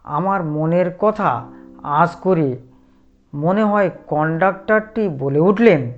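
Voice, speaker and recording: A man tells a scripted horror tale in the first person, taking his time over each phrase. His delivery is very slow and measured, with a dramatic storyteller's weight. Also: fairly high pitch, dull audio. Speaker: narrator.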